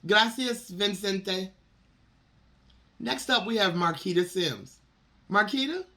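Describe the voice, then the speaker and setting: A woman hosts a showcase, announcing the next performer. Her mid-pitched voice swings up and down in a lively way while she speaks at a slow pace. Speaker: host of a poetry showcase. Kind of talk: event introductions.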